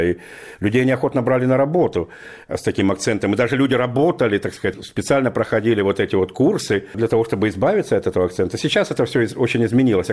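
A middle-aged writer from Ukraine, interviewed for a radio program, talking in a thoughtful way with lots of verbal fillers. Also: male voice, fairly low pitch, a little mellow. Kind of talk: relaxed conversational storytelling.

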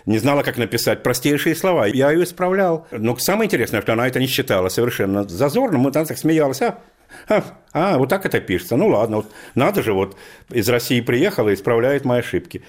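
A middle-aged writer from Ukraine, interviewed for a radio program, talking in a thoughtful way with lots of verbal fillers. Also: male voice, medium pitch, very animated, fast. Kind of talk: relaxed conversational storytelling.

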